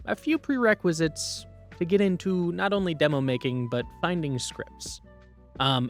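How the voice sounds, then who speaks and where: A man with a fairly high, lively voice leads an informal workshop. His delivery swings widely in pitch and is conversational and emphatic, with light music behind him. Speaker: voice acting workshop presenter. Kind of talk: informal instructional presentation.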